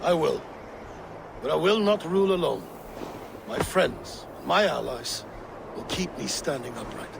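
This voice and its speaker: Casting: proud Viking ruler, intensely gravelly, grave and determined, game drama, clear outdoor noise. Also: male voice, a little high, expressive, unhurried, pauses often, full character voice. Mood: grave resolve. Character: resolute Viking lord, bitter, proud, ready to rule. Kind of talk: dramatic video game acting.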